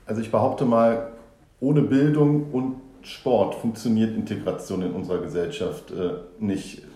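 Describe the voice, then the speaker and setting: A man who works as a teacher puts measured questions to a fellow panelist. His voice sits low and dark, and the room around him echoes. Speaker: teacher and teacher trainer.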